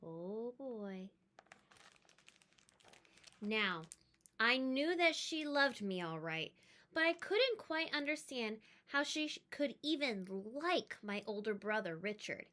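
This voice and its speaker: Lady reading a kids book out loud, slow pace, bright voice, really expressive and emphatic. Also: a little high. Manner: expressive, emphatic, with chatty asides. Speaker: read-aloud storyteller. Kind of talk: expressive children's storybook narration.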